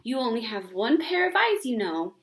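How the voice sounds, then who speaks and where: Woman reading as a calm, tired mother. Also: on the high side, moderate pace. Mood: mildly chiding. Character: patient, practical mother of young girls.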